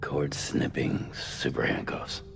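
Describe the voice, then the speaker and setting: An actor playing a terse, gruff male courier hero with a gravelly voice in a cinematic video game. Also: takes it slowly, music in the background, lightly acted. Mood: dry, curious. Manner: terse, blunt.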